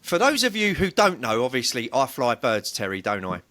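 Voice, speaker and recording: A man's voice: medium pitch, sing-song, moderate pace, pushed and bright. A man co-hosting a podcast, bantering casually with a blunt, rambling way of talking and the odd swear word. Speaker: podcast co-host.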